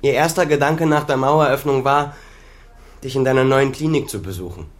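A man's voice: mid-range voice, normal speed, lightly acted. A man acting in a naturalistic radio drama as a bitter adult son who cuts at his estranged father with reproach. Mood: pressing, accusing. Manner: accusing, reproachful, cutting.

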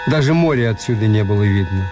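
An actor playing a detached hotel owner who narrates his own story in a measured, understated radio drama style. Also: on the low side, animated, over music, close to an everyday voice. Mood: wry, detached.

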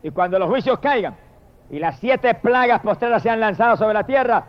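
A man with a high voice preaches a fervent sermon, calling out praise to God again and again between long, insistent appeals. The recording is dull and lo-fi, with the top end muffled. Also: animated, normal speed. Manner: impassioned, repetitive exclamations of praise.

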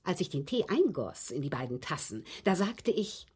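A husky, well-bred abused woman confessing. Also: low-pitched, sing-song, darkish tone, exaggerated voice. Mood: confiding, intense. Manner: deliberate, dramatic, emphatic phrasing with repetitions. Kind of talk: German radio drama acting.

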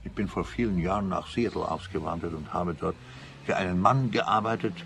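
An actor playing a jumpy, blustering older emigrant back from America, mixing in English phrases, in a radio play. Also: male voice, deep voice, brisk, vocal fry, fairly bright tone, slight background noise. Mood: confiding. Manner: indignant, peppered with English phrases.

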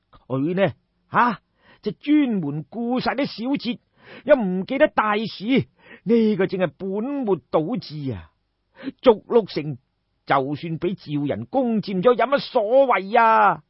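A man's voice: sits high, big pitch swings, a little slow, dry recording, muffled recording, pitched above the performer's own voice, full character voice. A man voicing a hot-tempered, scornful crown prince in Cantonese, ranting with impatient emphasis. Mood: furious.

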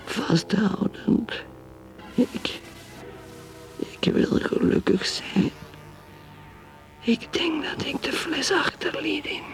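An actress in a radio play playing a despairing alcoholic wife brooding in secret, in breathy, halting, broken phrases. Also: female voice, high voice, lively intonation, faint music behind, exaggerated voice, low-quality recording. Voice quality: breathy, whispered, creaky. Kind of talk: radio play inner monologue.

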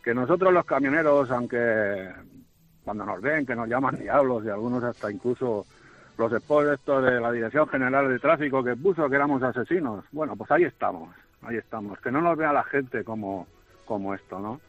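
Nervous Spanish trucker on a dull phone line. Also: male voice, medium pitch, fairly quick. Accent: Spain. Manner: emphatic, repetitive, plaintive. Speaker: truck driver calling in. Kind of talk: phone-in testimony. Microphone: phone call. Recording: dull radio phone line, faint music.

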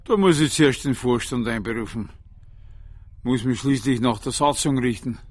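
An actor playing a stuffy, wary older veterans' club official with a Bavarian accent, defensive and folksy. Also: male voice, medium pitch, moderate pace, a little mellow, slightly rough, dry recording, soft background music, natural voice. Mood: grudging.

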